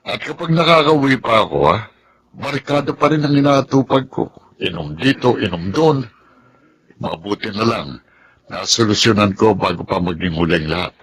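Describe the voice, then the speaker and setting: Deep-voiced fatherly army captain. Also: expressive, moderate pace, a bit bright. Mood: fatherly, confiding. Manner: advising, confiding. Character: older military captain, fatherly mentor, frank, supportive. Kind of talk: Filipino radio drama acting. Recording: noisy ambient background.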